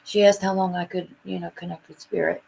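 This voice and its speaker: A woman speaking casually and haltingly as a psychic reader in a live chat, often repeating her phrases. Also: on the low side, little pitch movement, gravelly, a crowd in the background, rough recording.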